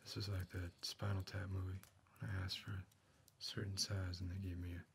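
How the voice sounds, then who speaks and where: An actor as a gruff middle-aged backwoods man with a very low, creaky voice. He is terse, deadpan and a little menacing, speaking quietly in an ASMR roleplay with clear noise behind him. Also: a little fast. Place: dim indoor room. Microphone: close ASMR microphone.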